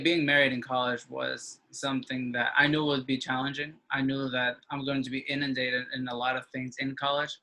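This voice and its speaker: A man in his twenties, an interview guest, talking with halting speech and frequent restarts. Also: mid-range voice, pushed and bright, poor audio. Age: 20s. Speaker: interview guest, engineering student. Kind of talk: casual conversation.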